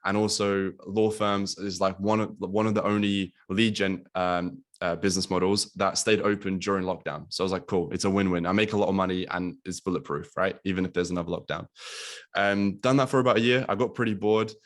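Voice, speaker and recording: A man's voice: low voice, steady tone, fairly quick. A young man from Latvia, speaking as a guest business coach on a video call, casual and full of ums and likes, swearing now and then.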